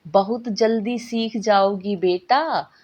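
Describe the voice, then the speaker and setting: Woman as a patient, husky-voiced storybook mother. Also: mid-range voice, slow pace, a little mellow. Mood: reassuring. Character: patient, affectionate magical mother soothing her daughter. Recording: dull lo-fi recording.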